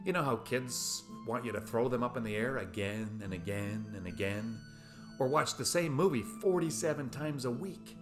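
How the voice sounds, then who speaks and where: A man's voice: mid-range voice, normal speed, music in the background. A man in his fifties giving a scripted, essay-like talk to camera, asking rhetorical questions with playful emphasis. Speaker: video essayist. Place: small room at home, indoors.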